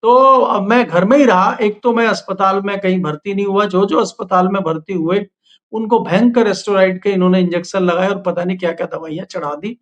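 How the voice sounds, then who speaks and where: A man's voice: high-pitched, a little fast. A man, a political editor, answering in an interview in a critical, earnest way, on a remote line.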